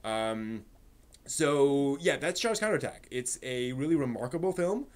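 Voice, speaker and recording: A man with a slightly high voice hosting a casual anime review, explaining in a halting way with repeated words and emphatic asides. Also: animated. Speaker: host reviewing anime on a live stream.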